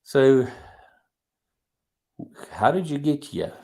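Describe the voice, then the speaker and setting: A man hosting a podcast over a video call, talking slowly with many fillers and restarts. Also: medium pitch, very animated, a little mellow. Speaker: podcast host. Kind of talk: casual interview chat.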